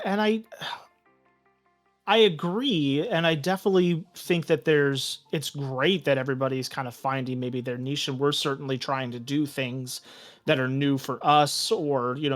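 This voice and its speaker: A man's voice: medium pitch, fairly even intonation, moderate pace, faint music behind. A man speaking as a podcast guest and game master, explaining his approach in a casual, reflective, conversational way. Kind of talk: casual, reflective interview chat.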